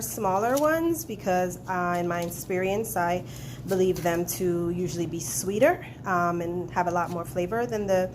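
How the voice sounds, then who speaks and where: A woman with a stuffy, nasal head-cold voice talks her way through a cooking demo in a loose, chatty way, full of ums and asides. She is filming herself handheld in a home kitchen. Steady household noise sits clearly behind her voice. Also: medium pitch. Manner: chatty, relaxed, many ums. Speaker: private chef. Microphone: handheld phone camera.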